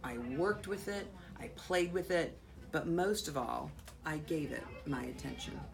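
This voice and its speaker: An American woman, a school principal, reading a children's picture book aloud expressively, with dramatic pauses and drawn-out words. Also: deep voice, animated, gravelly, music in the background. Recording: faint background noise.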